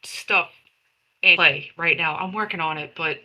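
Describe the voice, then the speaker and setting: A woman's voice: fairly low pitch, a little slow, sharp tone, poor audio. A woman playing a tabletop game, switching between casual table chat and roleplay in a blunt, exasperated, sweary way.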